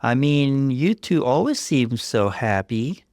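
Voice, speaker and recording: A man's voice: mid-range voice, big pitch swings, slow pace, a little mellow, dry recording, clean studio sound, voice pushed up. A man playing a boss's wife in a narrated story, confiding and hesitant with concern.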